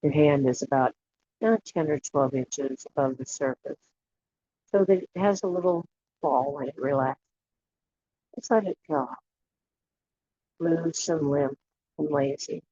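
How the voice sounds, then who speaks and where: A guided imagery facilitator leading a relaxation meditation in a soothing, unhurried way, leaving long pauses between short phrases. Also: medium pitch, dark tone. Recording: dull lo-fi audio with clear background noise and clicks.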